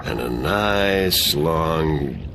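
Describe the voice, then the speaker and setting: A man's voice: rumbling low, gravelly, noisy background, exaggerated voice. An actor playing a sardonic middle-aged exile who laughs at his own jokes and loves whiskey.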